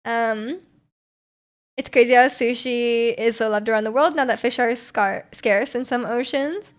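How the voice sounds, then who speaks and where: A young woman streaming live and chatting casually with her viewers. Her voice sits a little high, and she rambles, restarting sentences and filling gaps with likes and ums. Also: a little slow. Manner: rambling, many fillers and restarts, frequent laughter. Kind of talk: casual chat reading viewer comments.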